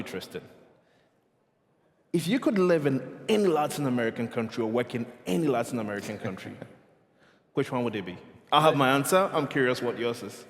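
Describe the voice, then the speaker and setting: A man with a mid-pitched voice moderates a conference panel. He briskly sums up each answer and passes the floor to the next panelist. His voice rings with the long reverb of a big conference hall. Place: conference stage in a large hall.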